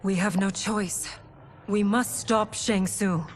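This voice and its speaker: A woman playing a regal, solemn warrior queen in a video game, speaking in an earnest, breathy, measured way. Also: mid-range voice, fairly even intonation, slight background noise, close to an everyday voice. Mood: resolute. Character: regal warrior queen, female keeper of time, solemn and wistful. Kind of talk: cinematic video game acting.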